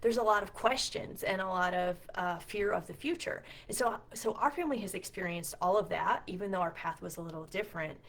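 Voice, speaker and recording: A woman's voice: on the low side, brisk, few pauses, a bit bright. A middle-aged woman with a scratchy voice talking casually and earnestly straight to her viewers, often putting questions to them. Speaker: homeschool community founder and co-leader. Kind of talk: casual direct-to-camera vlog talk.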